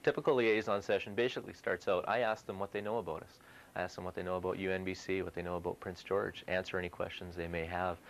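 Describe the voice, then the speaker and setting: A young man working in student recruitment, explaining his school visits in a brisk, enthusiastic way. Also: low-pitched, level tone, gravelly, slight background noise, low-quality recording. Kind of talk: interview explanation.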